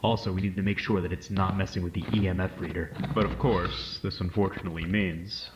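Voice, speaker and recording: A man playing a calm, methodical paranormal investigator, speaking his case notes in a measured, matter-of-fact way with hesitant pauses. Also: sits low, lo-fi sound, very noisy, natural voice. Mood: focused, uneasy. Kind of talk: found-footage horror monologue, spoken as case notes.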